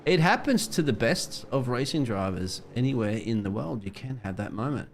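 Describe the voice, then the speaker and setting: A man's voice: medium pitch, lively intonation, moderate pace, slight background noise. A man working as co-commentator on live sim racing, chatting casually and putting questions to drivers.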